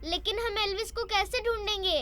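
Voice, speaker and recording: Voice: very high-pitched, fairly even intonation, normal speed, sharp tone. An actor voicing a tiny toddler boy rabbit, childish and eager, in a cartoon. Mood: puzzled. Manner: childish, eager, wide-eyed. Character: tiny giggly toddler boy rabbit, adoring little brother. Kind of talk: Hindi cartoon dub acting. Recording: prominent music behind the voice.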